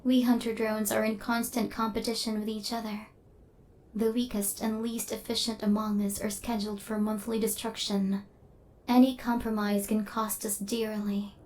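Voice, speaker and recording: Woman voicing a deadpan female killer robot, evenly pitched. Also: moderate pace, natural voice. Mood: stern, clinical. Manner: formal, clinical, stilted deadpan phrasing. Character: female killer robot drone, literal, stern yet softening. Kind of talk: ASMR roleplay.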